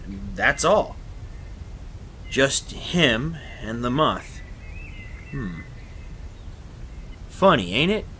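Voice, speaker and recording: Flustered everyman for radio drama, played by a man: folksy, halting, slow, very animated pitch. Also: slight background noise, heavily acted. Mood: baffled, uneasy. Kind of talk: retro radio drama acting.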